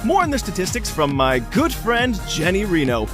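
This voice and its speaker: Flamboyant high-voiced game show host. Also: male voice, huge pitch swings, normal speed. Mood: gleeful, showy. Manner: theatrical, gushing, exclamatory. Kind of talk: showy live sports-style commentary. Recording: music under the voice.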